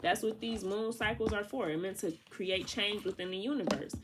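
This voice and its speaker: A woman's voice: medium pitch, normal speed, noisy background. A woman giving a casual tarot reading. She sounds congested from allergies and talks to the viewer in a chatty, slangy way. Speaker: tarot reader.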